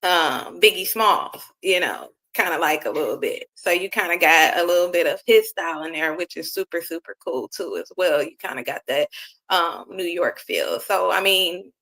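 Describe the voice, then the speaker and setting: A woman interviewer with a lowish but bright, forward voice. She asks her questions in a loose, chatty way, packed with fillers and friendly asides. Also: expressive, normal speed. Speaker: interviewer host. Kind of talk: casual interview.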